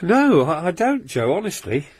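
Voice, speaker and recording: A nervous, stammering householder. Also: male voice, high voice, very animated, normal speed, a little mellow, slight background noise. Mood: jittery. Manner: hesitant, stammering. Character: nervous, anxious male householder. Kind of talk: radio drama acting.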